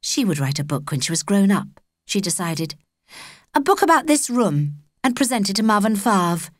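Animated British woman reading a story. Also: mid-range voice, dry recording, clean studio sound. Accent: British English. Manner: expressive, measured storytelling. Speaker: audiobook narrator. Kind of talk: scripted children's story narration.

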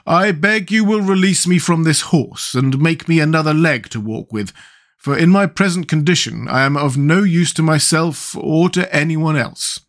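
A man voicing an earnest, simple pumpkin-headed man who talks slowly and drags out his words. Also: on the high side, pitched above the performer's own voice, close to an everyday voice. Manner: slow and drawn out.

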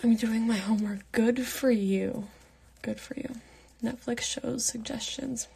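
A young woman in her late teens chats through a live Q&A, keeping her voice soft and half whispered. Her tone is breathy and mellow, with a gravelly edge at the ends of her phrases. She holds the phone at arm's length in her bedroom at night. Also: a little high, fairly even intonation, measured pace. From Pittsburgh, United States. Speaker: livestream host answering fan comments. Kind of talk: casual live Q&A chat. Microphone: phone held at arm's length.

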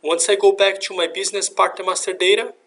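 A man narrating a software demonstration in a step-by-step, instructional way. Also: high voice, level tone, fast talker. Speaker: software demo presenter. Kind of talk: scripted software tutorial narration. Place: screen recording voice-over.

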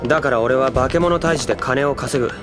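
A man playing a brash, money-hungry young undead man in an anime audio drama, talking in rough, bossy slang. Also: mid-range voice, brisk, over loud music, natural voice. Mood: indignant.

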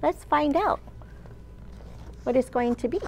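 A woman in her thirties, a children's librarian leading storytime with an animated, sing-song delivery for young children. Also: high voice, measured pace, gravelly, a little hiss or hum, poor audio. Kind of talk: children's storytime read-aloud and teaching. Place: large open library room, indoors.

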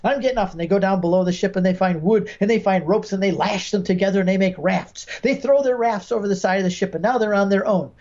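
A man's voice: sits high, quick pace, few pauses, a little mellow, highs cut off. An American man in his sixties from Michigan, explaining as a podcast guest with stories and examples, emphatically acting out quoted speech. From Michigan, United States. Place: home room with bookshelves, video call.

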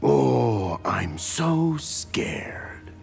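An actor voicing a narcissistic, menacing caped superhero in a video game, with mocking, condescending sarcasm. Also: male voice, fairly high pitch, wildly swooping pitch, slow pace, creaky, over music, heavily acted. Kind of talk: video game fight taunts.

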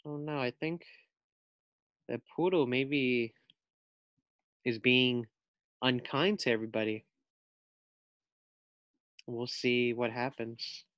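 A man's voice: mid-range voice, fairly even intonation, slow, long pauses, clean tone, no room sound, lo-fi sound. A man reading a children's picture book aloud as a teacher, expressively, with simple asides to young listeners.

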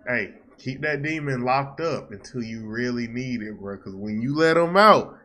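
Animated, bright-voiced young American man. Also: medium pitch, normal speed, a little hiss or hum. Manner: slangy, animated, repeats phrases. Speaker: reaction video host. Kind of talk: casual reaction commentary. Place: small room at home.

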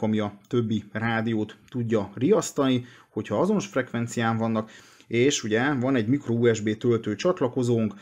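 A man's voice: medium pitch, a little mellow. Man, casual product walkthrough. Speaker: product presenter.

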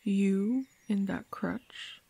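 A woman's voice: medium pitch, extremely slow, creaky, warm tone, husky, no echo, heavily acted. A woman playing a concerned member of a search party in a roleplay audio drama. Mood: concerned, hushed.

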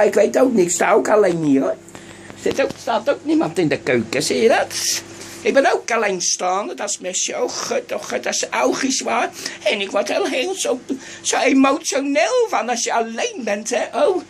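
A woman's voice: fairly high pitch, a little hiss or hum. A woman playing a scatterbrained elderly woman who hosts a microwave cooking show, rambling and forgetful with many sighed exclamations. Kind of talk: comedic improvised sketch.

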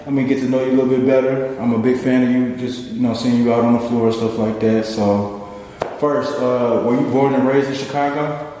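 A man hosting a casual interview, asking relaxed questions with short prompts and fillers. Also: mid-range voice, fairly quick. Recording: echoey room with faint background hiss.

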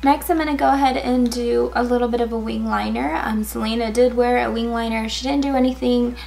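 A woman in her twenties talks through a makeup tutorial in a casual, filler-laden way. Her voice is raspy and a little hoarse from a cold, sitting fairly high with a gravelly fry. She speaks close to the camera in an indoor setting. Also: fairly even intonation, a little fast, darkish tone, soft background music. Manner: casual, chatty, many fillers like "um" and "like". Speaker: beauty vlogger. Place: indoors, in front of a gold sequin backdrop.

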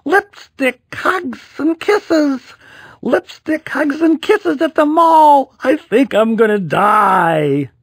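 A man's voice: extremely high pitch, animated, slow, vocal fry, no room sound, clean studio sound, pitched above the performer's own voice, heavily acted. A man voicing an embarrassed young boy in a storybook, whiny, strained and exaggerated in his protests. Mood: shrieking outrage.

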